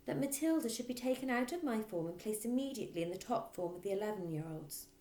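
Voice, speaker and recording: A woman voicing a gentle, nervous but determined teacher in a storybook, polite and pleading. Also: mid-range voice, animated, fast, voice pushed up, natural voice. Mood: pleading, firm. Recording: roomy echo.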